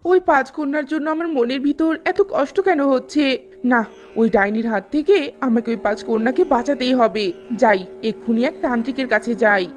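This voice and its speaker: A woman voicing a gentle little mother bird in a fairy-tale cartoon, earnest and exclamatory. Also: on the high side, normal speed, close to an everyday voice. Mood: troubled, resolved. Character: gentle motherly little tailorbird, anxious but brave.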